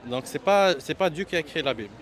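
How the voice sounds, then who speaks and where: A man debating religion informally outdoors, challenging insistently in a halting way and repeating his phrases. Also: on the high side, lively intonation, fairly bright tone. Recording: outdoor crowd noise behind the voice.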